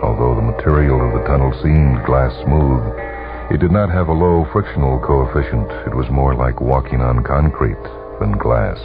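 A man reading a short story for radio in a measured, deliberate, suspenseful way. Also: extremely low pitch, creaky. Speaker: narrator. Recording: tinny, band-limited vintage radio broadcast. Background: music under the voice.

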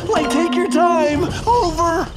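A man's voice: very high voice, takes it slowly. A man voicing a cowardly, hungry, goofy young slacker in a cartoon video game, with a whiny, hesitant drawl. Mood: nervous. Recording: music behind the voice.

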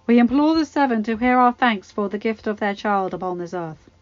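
A woman's voice: a little high, lively intonation, fairly quick, no echo, highs cut off, natural voice. A woman intoning as a solemn holy leader. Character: pious male religious leader, solemn.

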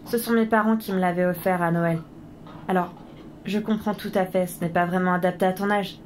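A woman playing a gentle, nostalgic mother in a French audio drama, speaking earnestly. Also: fairly low pitch, fairly even intonation, brisk, a little hiss or hum, lightly acted. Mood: tender.

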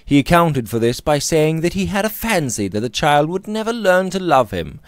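A man in his thirties reading a Victorian novel aloud with theatrical, deliberate phrasing, close to the microphone in a small room. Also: fairly high pitch, big pitch swings, no room sound. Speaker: audiobook reader. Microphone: close studio mic on boom arm.

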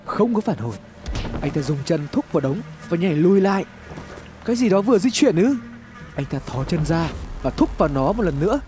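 A man's voice: high-pitched, sing-song, brisk, mellow. A man reads scripted horror story narration in a dramatic, suspenseful storytelling manner. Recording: music behind the voice. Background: background music.

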